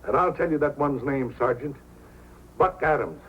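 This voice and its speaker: An actor playing a hot-headed, wronged dog-sled racer with emotional delivery in old-time radio drama style. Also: male voice, mid-range voice, normal speed, a bit bright, slight background noise, close to an everyday voice. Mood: indignant. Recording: muffled old radio recording with music.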